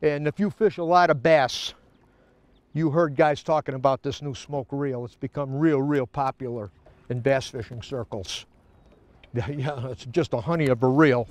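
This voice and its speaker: A man's voice: mid-range voice, steady tone, moderate pace. An older man chatting casually and colloquially as a fishing show host, outdoors on a boat. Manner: folksy, colloquial. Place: outdoors on a boat on open lake. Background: faint music.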